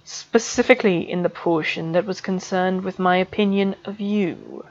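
A woman reader voicing a stern male potions professor who speaks slowly and with clipped control, on a dull lo-fi recording. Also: fairly low pitch, steady tone, lightly acted. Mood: cold. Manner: slow, measured and clipped.

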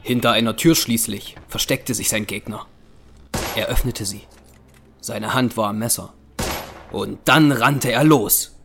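A man's voice: fairly low pitch, measured pace, vocal fry, pauses often, fairly bright tone, slight background noise. A man narrating an audio drama from a script.

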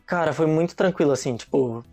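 A man's voice: on the high side, a little fast, a little mellow, faint music behind. A young man, a guest in a casual chat, telling stories in an easygoing, slangy way. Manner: easygoing storyteller, slangy, laughs often.

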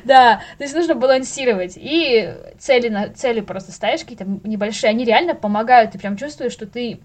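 A young woman chatting casually as a podcast guest, telling things in slangy, animated speech with the odd English word. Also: on the high side, fairly quick. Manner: animated storyteller, acts out little inner dialogues; slangy, drops in English words.

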